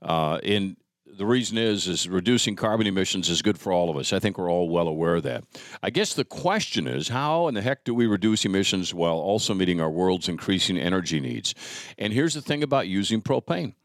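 A man's voice: deep voice, sing-song, a little fast, no room sound, studio quality. A man hosting an interview show in a chatty, emphatic way, speaking directly to the listener. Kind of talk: casual hosting with conversational sponsor reads.

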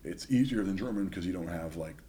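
A young man chatting casually as a podcast guest, telling stories in a relaxed, rambling way. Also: low voice, a little fast, a little hiss or hum. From Northern California. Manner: chatty storyteller. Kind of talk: casual chat.

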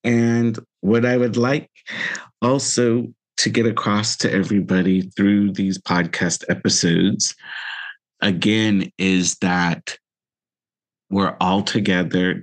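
Deep-voiced, slow-paced American man in his fifties. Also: fairly even intonation. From Central Valley, United States. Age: 50s. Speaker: podcast host. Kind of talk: reflective solo podcast talk.

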